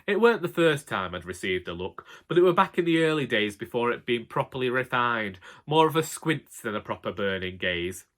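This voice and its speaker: A man's voice: medium pitch, big pitch swings, a little fast, few pauses, fairly bright tone. A man with a Northern English accent narrating a comic first-person audiobook as a droll, grumbling, long-suffering husband.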